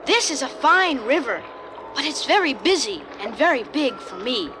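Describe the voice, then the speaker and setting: A woman's voice: high-pitched, sing-song, normal speed. A woman voicing a small, childlike toy tugboat in a children's storybook, boastful yet easily worried and given to plaintive exclamations. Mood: proud. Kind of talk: children's storybook character acting. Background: music.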